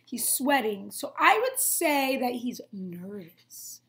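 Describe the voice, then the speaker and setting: A woman's voice: fairly high pitch, big pitch swings, takes it slowly, a bit bright. A woman in her twenties, a teacher reading aloud to children, explaining and prompting them with simple questions. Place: small room at home, indoors.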